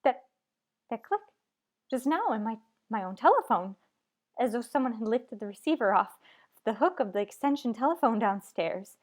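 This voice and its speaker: A woman's voice: a little high, sing-song, moderate pace. A woman playing a nervous, imperious invalid in an old-time radio thriller, agitated and pleading. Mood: alarmed. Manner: demanding, agitated, breathless pleading.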